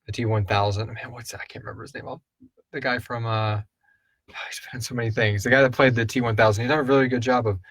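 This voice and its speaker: A man's voice: medium pitch, fairly even intonation, quick pace, a bit bright, dry recording, clean studio sound. A man co-hosting a casual live question and answer chat, rambling loosely and searching for his words. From Houston, Texas area. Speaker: co-host and audio drama creator answering listener questions.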